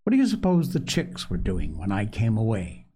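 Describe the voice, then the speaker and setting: Storybook minister's wife, gentle, motherly and sweet, expressive intonation played by a man. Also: on the low side, moderate pace, pitched below the performer's own voice. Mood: soothing.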